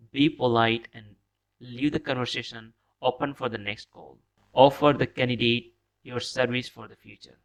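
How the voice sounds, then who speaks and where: A man's voice: mid-range voice, low-quality recording. A man presenting a training lesson as scripted instructional narration, reading points step by step with short directives.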